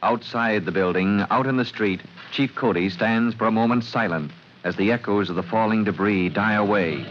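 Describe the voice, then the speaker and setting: A man's voice: lowish voice, normal speed. A man narrating and announcing a scripted drama in dramatic old-time radio style. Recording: muffled old radio recording, some noise.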